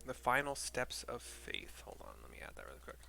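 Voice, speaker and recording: Forceful man doing casual game commentary. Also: mid-range voice, huge pitch swings, fairly quick, gravelly, slight background noise. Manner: informal, sweary, rambling asides. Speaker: video game streamer. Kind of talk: casual playthrough commentary with scene narration. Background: game music.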